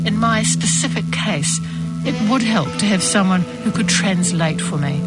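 A woman reading a personal first-person account as a calm, earnest scripted narration. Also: medium pitch. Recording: voice-over with music behind.

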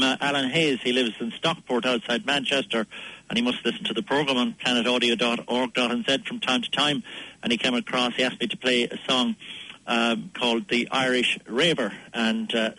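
A man presenting a community radio programme casually, with a hesitant, halting delivery, close on a studio mic. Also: mid-range voice, pushed and bright, rough recording. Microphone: close studio microphone.

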